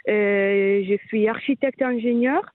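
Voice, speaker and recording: A woman's voice: medium pitch, unhurried. A woman in her thirties with an Italian accent, a guest who speaks hesitantly with many fillers and restarts. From Italy. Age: 30s. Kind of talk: casual interview talk. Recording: tinny phone-like line.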